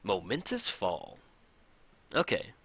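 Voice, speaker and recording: A man casually commenting on a card game match, thinking aloud, his voice a little raspy from hours of recording. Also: fairly low pitch, wildly swooping pitch, slow pace. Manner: chatty, thinks aloud, counts out loud. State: slightly raspy from long recording. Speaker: gameplay commentator.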